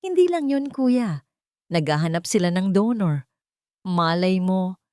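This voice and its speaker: A woman's voice: on the low side, expressive, measured pace, a little mellow, smooth, no room sound, clean studio sound. A woman voicing an earnest, deferential younger brother, serious and respectful, in a narrated romance drama. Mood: earnest, pleading.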